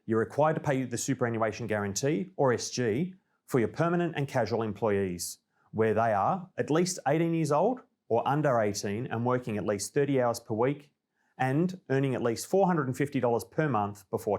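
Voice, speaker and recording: A man with an Australian accent presenting a scripted informational update in a clear, orderly, explanatory way. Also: medium pitch, animated, normal speed, a little mellow. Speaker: presenter of a company newsreel.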